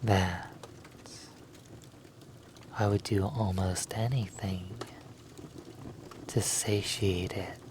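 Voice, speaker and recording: Roleplay audio: male actor as hungry, formal vampire, low-pitched, extremely unhurried, quietly menacing. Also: fairly even intonation, background noise. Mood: languid, hungry. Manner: slow, formal, deliberate, menacing. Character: predatory, commanding male vampire stranger, hungry and calm. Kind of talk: hypnosis roleplay audio acting.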